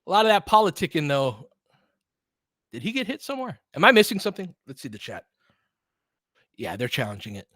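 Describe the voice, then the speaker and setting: A man doing live basketball play-by-play as an excitable, partisan fan, chatting casually with his viewers. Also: a little high, a little fast, pauses often, dry recording, studio quality. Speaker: fan play-by-play commentator, streamer.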